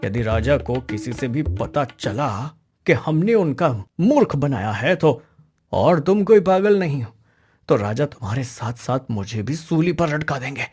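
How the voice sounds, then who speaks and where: A man's voice: medium pitch, lively intonation, measured pace, darkish tone, husky, faint music behind. A man playing an exasperated, fretful royal minister and father in a cartoon story. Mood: exasperated, worried.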